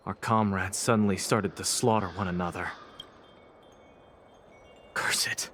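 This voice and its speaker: Male actor as embittered military veteran for a video game, fairly low, intense and formal, faint background noise. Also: natural voice. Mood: bitter, vengeful. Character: embittered, proud male soldier sworn to avenge comrades.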